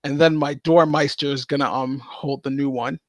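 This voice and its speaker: A man's voice: medium pitch, steady tone, normal speed, no echo. A man playing a tabletop game, talking casually.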